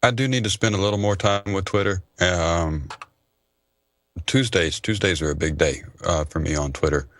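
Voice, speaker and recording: Deep-voiced male guest, relaxed and halting. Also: dry recording, studio quality. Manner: relaxed, hesitant, many fillers. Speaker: guest panelist, audio engineer. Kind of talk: casual panel chat.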